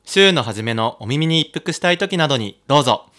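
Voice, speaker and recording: A man co-hosting a casual two-person podcast, chatty and quick to giggle. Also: medium pitch, lively intonation, fairly bright tone. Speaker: podcast co-host. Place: in a co-host's home.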